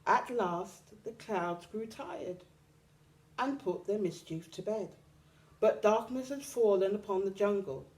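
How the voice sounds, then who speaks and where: A woman reading a children's picture book aloud expressively, with dramatic, deliberate phrasing for young listeners. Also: mid-range voice, darkish tone, poor audio. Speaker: storytime reader.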